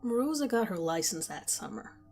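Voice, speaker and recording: A woman reading a first-person horror story aloud, in a quiet, measured storytelling voice. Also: medium pitch, very animated, faint music behind. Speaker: narrator. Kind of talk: scripted horror story narration.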